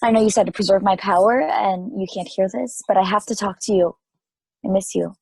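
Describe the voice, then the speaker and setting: A woman's voice: mid-range voice, brisk, gravelly, a little mellow, slightly rough, dry recording. A woman playing a lonely, frightened space probe in a play table read, hesitant and pleading. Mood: lonely, confused.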